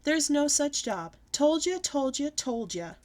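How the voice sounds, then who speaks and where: A woman's voice: on the high side, animated, moderate pace, a little mellow, voice pushed up, natural voice. A woman voicing a mean little boy who teases in a singsong taunt.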